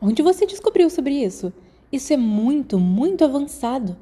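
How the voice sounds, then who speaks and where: A Brazilian woman voicing a curious, excitable teenage schoolgirl in an audiobook. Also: high voice, moderate pace, slightly rough, voice pushed up, exaggerated voice. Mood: excited, amazed. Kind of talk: audiobook character dialogue.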